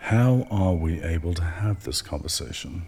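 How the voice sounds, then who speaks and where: A man playing a cryptic, taunting doctor who speaks in slow, portentous riddles in a radio play. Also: deep voice, expressive, vocal fry, darkish tone, slightly rough, full character voice. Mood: cryptic, ominous. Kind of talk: radio drama acting.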